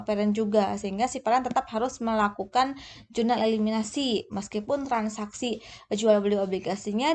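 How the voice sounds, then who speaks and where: A woman giving an informal explanatory lecture as a tutor, going step by step in a somewhat halting, hesitant way. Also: medium pitch. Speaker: accounting tutor.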